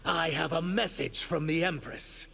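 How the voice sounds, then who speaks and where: An actor playing an earnest, dutiful shapeshifting reptilian emissary who carries grief, in video game dialogue with noisy sound. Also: male voice, fairly high pitch, steady tone, a little slow, gravelly, fairly bright tone, heavily acted. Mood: firm.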